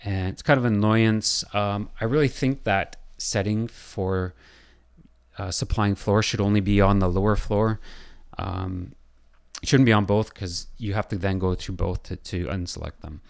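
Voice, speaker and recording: A man with a hoarse, scratchy voice, losing his voice, talking through a software design tutorial and thinking aloud with lots of pauses and fillers. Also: on the low side, fairly even intonation. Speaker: building designer.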